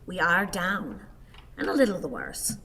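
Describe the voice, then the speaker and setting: An actress playing a fretful, long-suffering old wife in a radio play, fussing and pleading in a theatrical way. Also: female voice, on the low side, very animated, unhurried, pushed and bright, a little hiss or hum, exaggerated voice. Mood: fussing, soothing. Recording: reverberant hall.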